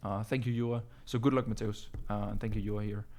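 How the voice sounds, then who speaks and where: Low, flat-voiced Danish man chatting. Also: brisk. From Denmark. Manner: casual, calls listeners bro, many ums and uhs. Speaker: business coach answering viewer questions. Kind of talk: casual live-stream Q&A.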